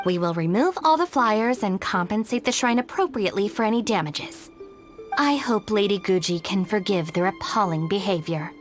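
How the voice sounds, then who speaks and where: A woman's voice: medium pitch, animated, normal speed, soft background music, lightly acted. A woman playing a stern, level-headed young gang minder in a video game, speaking in a dry, controlled, exasperated way. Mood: formal, wary. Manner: dry, controlled, matter-of-fact. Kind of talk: English video game voice acting.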